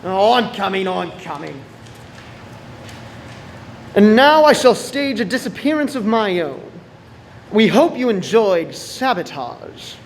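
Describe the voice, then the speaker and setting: A man's voice: high voice, big pitch swings, slow pace, pauses often, full character voice. A man playing a brusque, inquisitive police inspector with a wry streak in a radio drama. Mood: wry, musing. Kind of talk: radio play acting. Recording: echoey room with faint noise.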